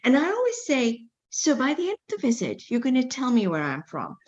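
A middle-aged woman from Kenya, a medical doctor telling her story in a casual interview, animated, often repeating words with playful asides. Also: high voice, brisk, highs cut off.

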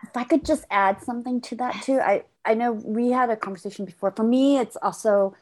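A woman's voice: a little high, a little mellow, low-quality recording. Korea-born woman, guest on a discussion panel, hesitant and searching delivery. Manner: thoughtful, halting, frequent restarts. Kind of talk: reflective conversation.

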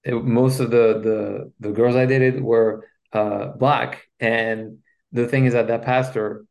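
A man from Belgium whose first language is French, speaking English with a Belgian French accent, recounting his past as a podcast guest in a halting way with frequent restarts. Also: medium pitch, little pitch movement, creaky, a little mellow. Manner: halting, with many restarts and fillers. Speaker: guest, relationship coach. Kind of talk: personal story in a podcast interview.

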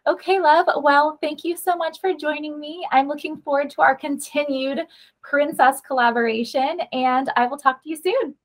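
A woman's voice: high-pitched, steady tone, normal speed. A woman hosting a casual interview podcast, speaking in a gushing, affirming manner. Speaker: podcast host.

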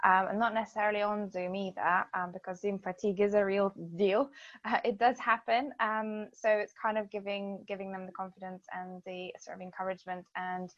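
A woman with a Lithuanian accent, her native language, talks as an interview guest. She is hesitant, filling many pauses, and keeps a flat, even pitch. The recording is dry and somewhat dull. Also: no room sound. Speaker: guest, events marketing business owner. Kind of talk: casual interview chat.

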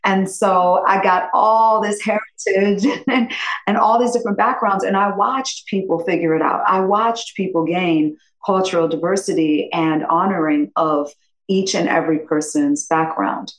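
A woman's voice: medium pitch, fairly even intonation, moderate pace, reverberant, rough recording. A woman in her fifties from upstate New York, a podcast guest chatting in a rambling, effusive way and laughing often. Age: 50s. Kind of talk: casual interview chat.

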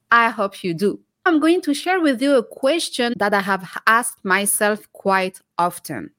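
A woman's voice: a little high, a bit bright, dry recording, studio quality. A woman hosting a leadership podcast, reading an upbeat promotional introduction and then putting questions to her guest. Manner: upbeat, promotional, multilingual greetings. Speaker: podcast host. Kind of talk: scripted podcast intro and interview.

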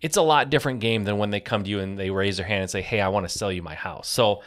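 A man's voice: fairly low pitch, fast. A man hosts a casual podcast interview. He keeps the talk moving with easy questions and short, agreeable replies. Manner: affirming, frequent short agreements. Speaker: podcast host.

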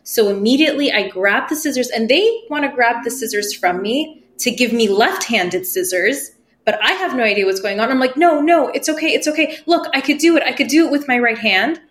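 A woman's voice: fairly high pitch, expressive, a little fast, a bit bright, a little hiss or hum. A woman whose was Farsi, telling personal stories as a podcast guest and acting out the voices she quotes, laughing easily. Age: 30s. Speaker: podcast guest, spiritual medium. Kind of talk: casual interview storytelling.

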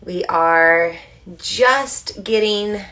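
A woman hosting a live online moon circle, slightly stuffy from allergies, chatting casually and gently guiding breathing. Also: medium pitch, very slow. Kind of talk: casual livestream with guided breathing. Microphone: livestream camera mic.

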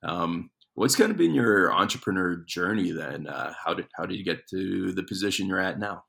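Deep gravelly guy hosting a podcast, upbeat, lots of uh and um. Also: lively intonation, normal speed, a bit bright. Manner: upbeat, eager interviewer with fillers. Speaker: podcast host. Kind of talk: interview podcast.

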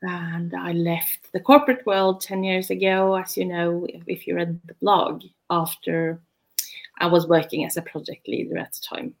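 A woman's voice: fairly low pitch, fairly even intonation, normal speed, creaky. A middle-aged woman with a Norwegian accent, co-hosting a casual welcome chat in a chatty way, with laughs and many hesitant fillers. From Norway. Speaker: co-host, knitting teacher.

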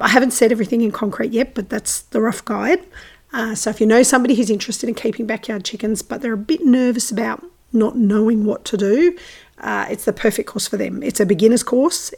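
A woman hosting her farming podcast in casual, enthusiastic chat, her voice croaky and hoarse from a recent illness. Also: medium pitch, darkish tone. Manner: chatty, enthusiastic, frequent ums and uhs. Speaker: podcast host.

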